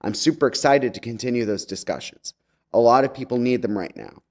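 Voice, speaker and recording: A man's voice: medium pitch, a little fast. A man giving a conversational message to a congregation as a guest speaker, chatty and wry, with campy slang.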